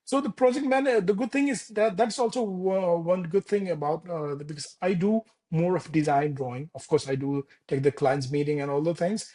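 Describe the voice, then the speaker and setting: A man in his thirties from Nepal with a Nepali accent, speaking as an interview guest in a casual, halting way with many restarts and fillers. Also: fairly high pitch, warm tone. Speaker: architect guest. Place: Denmark.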